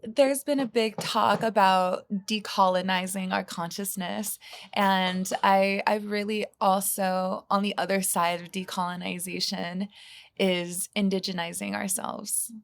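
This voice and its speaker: A woman guest on a podcast speaks in an earnest, reflective way. Her voice carries a steady gravelly fry, and her pitch stays within a narrow band. She keeps returning to "you know" as she works through her points. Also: a little slow. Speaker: guest, healer and psychedelic guide. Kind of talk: podcast conversation.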